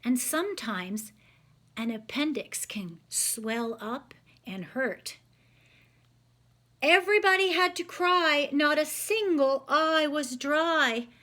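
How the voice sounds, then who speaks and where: A woman's voice: sits high, animated, takes it slowly, bright, forceful tone. A woman reading a picture book aloud to children, expressively, stopping to ask them simple questions. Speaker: children's read-aloud storyteller.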